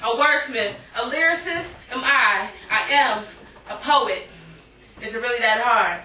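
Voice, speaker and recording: A woman's voice: fairly high pitch, a little slow, pushed and bright, slight background noise. A woman poet performing a live spoken-word reading of verse. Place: indoor cafe poetry night. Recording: echoey live room, distant microphone.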